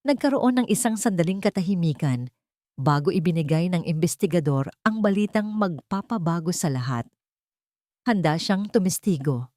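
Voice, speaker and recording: A woman's voice: fairly low pitch, lively intonation, normal speed, warm tone, dry recording, studio quality. A woman narrator reading a scripted dramatic story.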